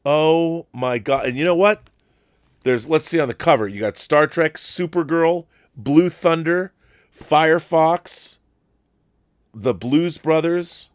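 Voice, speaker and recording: A man's voice: a little high, slow pace, clear, resonant tone, no echo, studio quality. A man hosting a live stream in a casual, chatty style, effusive and full of enthusiastic exclamations. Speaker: host of a live stream show. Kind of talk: casual unboxing chat and letter reading.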